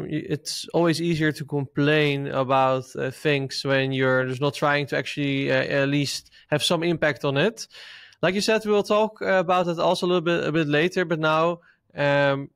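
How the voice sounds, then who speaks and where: A man's voice: on the high side, fairly even intonation, moderate pace, a bit bright, no room sound, studio quality. A man with a Dutch accent, a Dutch speaker hosting an interview in English, asking friendly questions with slight hesitations. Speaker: interviewer, host. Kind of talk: casual interview.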